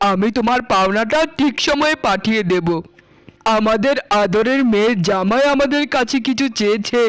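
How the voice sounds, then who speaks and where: A man's voice: high-pitched, fairly bright tone, clean tone, no echo, poor audio. A man voicing a humble, doting middle-aged father of a bride in a cartoon melodrama, placating and sentimental.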